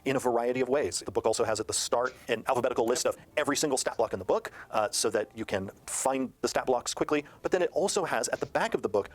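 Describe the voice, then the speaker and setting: A man's voice: mid-range voice, fast, hardly any pauses. A man presenting a game book as its designer, explaining it methodically in repeated, list-like phrasing. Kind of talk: explanatory interview talk. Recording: faint noise with clicks.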